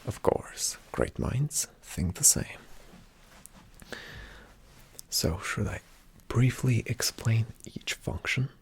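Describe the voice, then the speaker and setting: A man performing a whispered ASMR roleplay as a calm spy agent, giving hushed, deliberate instructions in a soft, breathy, slightly creaky voice. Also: medium pitch, a little hiss or hum. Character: calm, attentive male spy agent briefing a partner.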